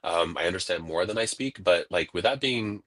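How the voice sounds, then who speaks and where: A man from California, a guest on a video-call interview, telling stories in a casual, animated way and acting out what people said. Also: sits low, brisk. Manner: animated, laughing, acts out quoted voices.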